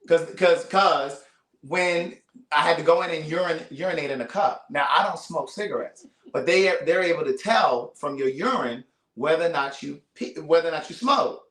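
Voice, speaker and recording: A man with a somewhat high voice tells stories in an animated, playful way, wandering into asides as he goes. He hosts a casual conversation. The sound is roomy and echoey. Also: moderate pace. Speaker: host. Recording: echoey room.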